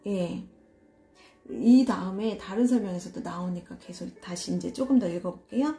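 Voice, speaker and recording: A woman from Seoul reading and explaining tarot cards in a casual solo video, talking slowly and hesitantly with many fillers and soft laughs. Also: lowish voice, faint music behind. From Seoul, South Korea. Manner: slow, hesitant, self-deprecating, frequent fillers and soft laughs. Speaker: tarot reader.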